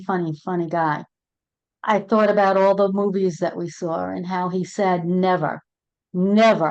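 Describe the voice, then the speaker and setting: A middle-aged woman with a Brooklyn accent talks earnestly in a casual conversation, often repeating words as she finds her thought. Her voice sits at a medium pitch. The audio is muffled and lo-fi. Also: a little mellow. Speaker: end-of-life doula, co-host. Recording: dull lo-fi audio.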